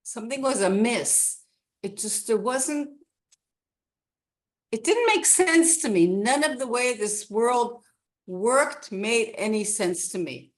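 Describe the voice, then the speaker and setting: A woman's voice: a little high, expressive, takes it slowly. A woman in her sixties from Canada, a guest telling her life story in a rambling, casual chat with many restarts.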